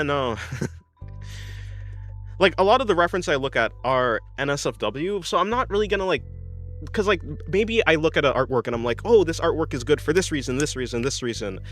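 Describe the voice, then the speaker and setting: Young man, art streamer, casual chat, lively pitch, restarts a lot, faint music behind. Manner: rambling, many restarts and fillers, laughs often. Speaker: artist streamer reading chat. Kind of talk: casual livestream chat while drawing.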